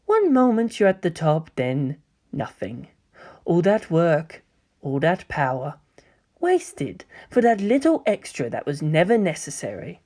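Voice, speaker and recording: A sly young male villain, part angel, speaks through a man's narration in a coy, gentle and mocking way. His lines swing widely in pitch. He muses theatrically, as in a dramatic story reading. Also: sing-song, a little slow. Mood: musing.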